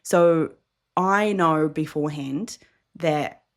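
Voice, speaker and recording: A woman's voice: low-pitched, takes it slowly. A woman from New Zealand with a New Zealand accent, co-hosting a podcast in easygoing, reflective casual chat. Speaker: podcast co-host.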